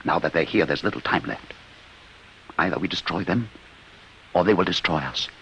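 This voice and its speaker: An actor playing a weary, remorseful inventor, grave and deliberate, in vintage radio drama style. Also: male voice, low-pitched, expressive, fairly bright tone. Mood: grave, determined. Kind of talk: 1950s radio drama acting. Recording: muffled hissy old radio recording.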